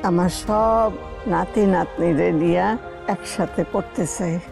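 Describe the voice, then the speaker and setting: An actress playing a heartfelt elderly grandmother in a film drama, with a creaky voice. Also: fairly low pitch, slow, full character voice. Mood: wistful, pleading. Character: elderly grandmother making a heartfelt last wish. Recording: music over film clip.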